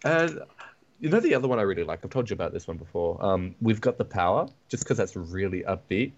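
Brief: low male podcast co-host, opinionated and chatty, dull lo-fi mic. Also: expressive, fast talker. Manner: opinionated, filler-heavy. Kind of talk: casual chat.

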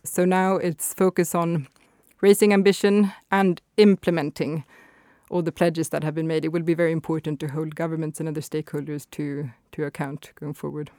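Smooth-voiced woman explaining policy. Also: deep voice, level tone, darkish tone, no echo, clean studio sound. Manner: explanatory, measured, some hesitations. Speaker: climate policy guest. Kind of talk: expert interview answers.

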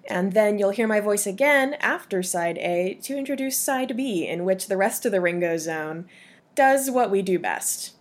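A woman's voice: mid-range voice, expressive, moderate pace. A woman giving a casual spoken introduction as a podcast host, explaining what listeners will hear.

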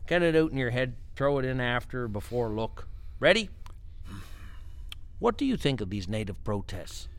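An actor playing a pushy, foul-mouthed, cynical political spin doctor in a satirical audio drama, brash and quick to cut in. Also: male voice, mid-range voice, sing-song. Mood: smug, coaching. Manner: brash, bullying, interrupting, swearing.

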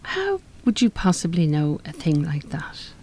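Low-voiced, guarded refuge resident. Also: female voice, huge pitch swings, measured pace, warm tone, slightly rough, exaggerated voice. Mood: evasive, wry. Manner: evasive, wry. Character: guarded woman in a refuge, survivor of violence. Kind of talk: live radio play acting.

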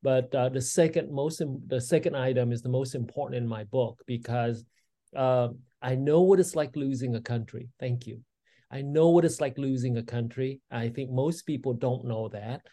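An earnest man in his sixties, originally from Vietnam, talks as a podcast guest. He speaks with a mellow, dark tone, and his sentences are full of fillers and restarts as he works his way through his points. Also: mid-range voice, moderate pace. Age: 60s. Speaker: guest, state legislator. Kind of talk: casual interview talk.

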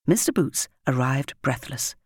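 A woman's voice: extremely low pitch, expressive, a little slow, darkish tone, husky, no echo, studio quality. A woman with a British accent reading a scripted story expressively as an audiobook narrator.

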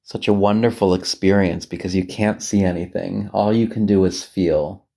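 A man with a lowish, soft-edged and relaxed voice leads a guided meditation as a priest would. He speaks gently and takes his time, leaving long pauses between phrases as he reads and reflects on scripture. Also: vocal fry.

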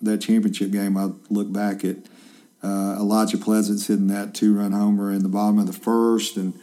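Man, 50s, interview host, rambling, low pitch, mellow. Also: little pitch movement, moderate pace. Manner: chatty, rambling, many fillers and restarts. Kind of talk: casual interview.

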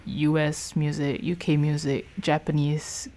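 A woman's voice: sits low, flat delivery, a little slow, dark tone. A young woman from Singapore in casual conversation, thoughtful and hesitant, with many fillers.

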